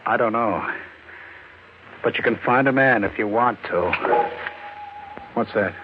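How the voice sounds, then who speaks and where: A man's voice: fairly low pitch, a little slow, lightly acted. An American man acting a cornered, wary husband on the run in a 1940s radio drama, in terse, colloquial lines. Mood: urgent, desperate. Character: cornered, desperate fugitive husband, wary and hard-boiled. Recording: muffled, noisy old radio recording.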